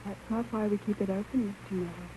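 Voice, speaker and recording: An actress playing a composed, solemn girl of about fifteen, polite and measured, in a 1930s radio drama. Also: mid-range voice, steady tone, mellow, natural voice. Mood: solemn, hushed. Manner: polite, measured, self-possessed. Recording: tinny, noisy old radio broadcast.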